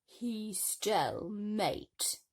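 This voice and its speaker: A woman voicing a vain, domineering stepmother in a storybook, snarling and booming imperiously. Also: big pitch swings, drawn-out words, vocal fry, heavily acted.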